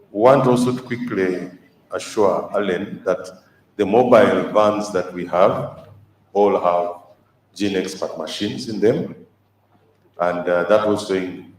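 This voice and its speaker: A man moderating a health conference session, halting with many fillers. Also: low voice, a little hiss or hum. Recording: echoey hall from far off, faint ambience.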